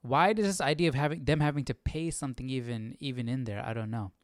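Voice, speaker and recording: A man's voice: mid-range voice, fast talker, dry recording. A man with a clean, resonant voice hosts an informal study session. He alternates reading aloud with questioning, off-the-cuff remarks and checks for agreement. The sound is dry and close, with little room in it. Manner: questioning, thinking aloud, often seeking agreement. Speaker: host of a livestream Bible study.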